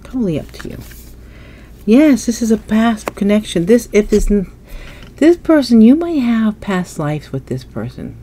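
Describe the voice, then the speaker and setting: A woman's voice: medium pitch, very animated, moderate pace, mellow, a little hiss or hum. A woman with a stuffy nose giving a casual tarot reading, musing aloud as she turns cards at a table. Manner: musing, thinking aloud while turning cards. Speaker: tarot reader. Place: indoors at a table, hands only in frame.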